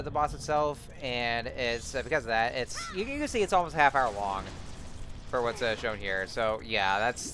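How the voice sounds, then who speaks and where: Let's-play host, a man: casual, rambling and chatty, a bit scratchy, with game music playing clearly underneath. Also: mid-range voice. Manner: rambling, many fillers and restarts. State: slightly scratchy voice. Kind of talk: casual gameplay commentary.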